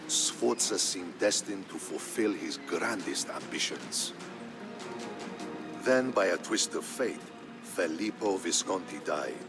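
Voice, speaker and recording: A man narrating a historical tale in a scripted, dramatic style, solemn and measured. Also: sits low, vocal fry. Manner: solemn, measured storytelling delivery. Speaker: narrator of a strategy game campaign story. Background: background music.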